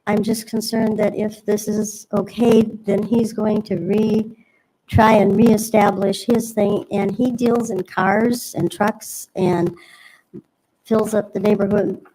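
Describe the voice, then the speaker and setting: A woman's voice: medium pitch, flat delivery, slow pace, warm tone, rough recording. A woman making a public comment at a city meeting, polite and hesitant, rambling with fillers. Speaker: resident speaking at a public hearing.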